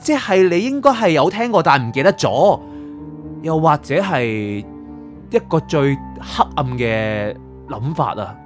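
A man's voice: fairly high pitch, sing-song, measured pace, soft background music. A man with a Hong Kong Cantonese accent playing a curious, chatty young man in an audio drama. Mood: insistent. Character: curious, chatty young man probing a soul prosecutor's past.

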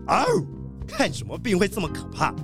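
A man's voice: sits high, fairly quick, music in the background. A man playing a kindly old master who fusses and stammers with concern in a children's detective radio drama. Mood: worried, fussing. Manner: excitable, solicitous, stammering with concern. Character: kindly, fussing old gentleman treasure collector.

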